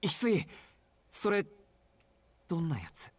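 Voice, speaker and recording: A man's voice: high voice, very animated, moderate pace, slightly rough, dry recording, exaggerated voice. An actor playing an uneasy, puzzled young man in a visual novel game. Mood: alarmed. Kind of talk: Japanese visual novel voice acting. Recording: game music behind the voice.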